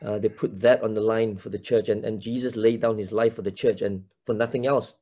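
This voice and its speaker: Minister guy from malaysia, mellow voice, lots of ums and restarts, video call chat. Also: on the low side, steady tone, a little fast. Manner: hesitant, many fillers and restarts. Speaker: church minister, guest. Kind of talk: casual interview talk.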